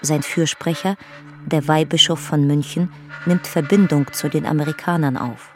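A woman's voice: low voice, fairly even intonation, normal speed, faint music behind, lightly acted. A woman giving sober, factual documentary-style narration in a radio drama.

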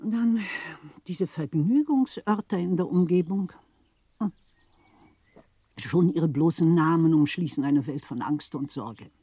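An actress playing a worldly, gossipy middle-aged widow of a Berlin official in a German radio play, theatrical, insinuating and chatty. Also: female voice, deep voice, slow pace, mellow, breathy edge, no echo, heavily acted. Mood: mock horror. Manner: theatrical, insinuating, chatty, repeats words for emphasis.

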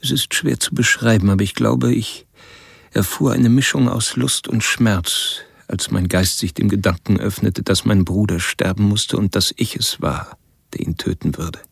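An actor playing a cerebral, cold-blooded killer confiding detached, measured philosophical thoughts in a radio play. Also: male voice, fairly low pitch, steady tone, creaky, husky. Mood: coldly reflective. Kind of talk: first-person monologue in a radio play. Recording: music behind the voice.

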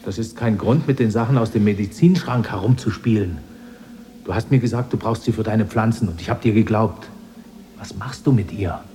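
An actor playing an anxious, dutiful husband in a radio drama, speaking earnestly and with urgency. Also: male voice, lowish voice, normal speed, mellow, a little hiss or hum, close to an everyday voice. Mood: suspicious, urgent. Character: anxious, dutiful husband pleading for his wife.

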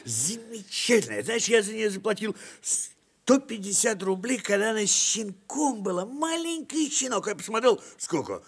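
Give the part of a middle-aged army officer in a radio play a husky voice that swings widely in pitch. He is tipsy and boastful, rambles fondly and repeats himself to insist. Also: sing-song, normal speed, heavily acted. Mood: sentimental, bragging. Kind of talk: theatrical radio play of classic prose.

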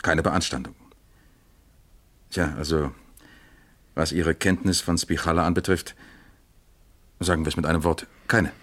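An actor playing a probing, dry-witted East German police captain in a radio crime drama, musing and questioning deliberately. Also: male voice, very deep voice, steady tone, a bit bright, full character voice.

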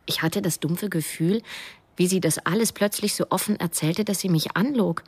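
A woman's voice: fairly low pitch, moderate pace, slightly rough. An actress playing a young woman who narrates a radio drama, probing and direct with a wry edge. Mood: reflective narration. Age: young adult. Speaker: first-person narrator.

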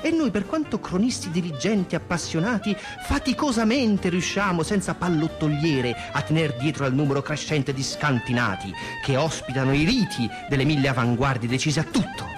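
A man's voice: fairly high pitch, sing-song, moderate pace, few pauses. A man reading scripted radio theatre narration in a declamatory, literary way. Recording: music bed behind the voice.

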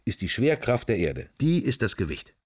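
An actor playing a musing, idly drifting man in a radio drama, thinking to himself in husky, fragmentary phrases. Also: on the low side, very animated, normal speed. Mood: pondering. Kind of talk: interior monologue in a radio drama.

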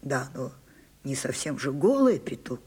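Grand aging stage diva for radio play: weary, capricious, commanding, unhurried, soft dark tone. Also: female voice, rumbling low, sing-song, slightly rough, exaggerated voice. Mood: theatrical, insistent. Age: older. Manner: theatrical, commanding, dramatic pauses. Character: imperious, theatrical old French actress, weary and capricious. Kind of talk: Soviet radio drama acting.

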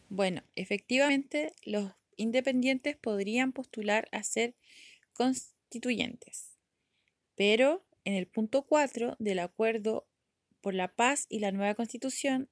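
Female podcast presenter, Chilean accent, lightly high voice, formal scripted explainer, very dry sound. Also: fairly bright tone, dry recording.

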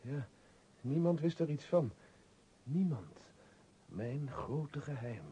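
A man's voice: on the high side, expressive, slow, dark tone, slightly rough, full character voice. A man acting an obliging, politely curious young stranger in a radio play. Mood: intrigued. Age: young adult. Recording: muffled radio play recording.

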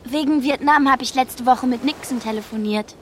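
A woman's voice: high-pitched, normal speed, background noise, natural voice. An actress playing a dreamy, headstrong young girl who states odd things matter-of-factly in a German radio play. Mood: dreamy, earnest.

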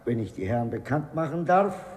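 An actor playing a dry, taunting prison warder in a 1950s German radio play. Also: male voice, medium pitch, animated, a little fast, a little mellow, close to an everyday voice. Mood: mocking. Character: slow, mocking old-school male prison warder. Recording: noisy 1950s radio, long echoing corridor.

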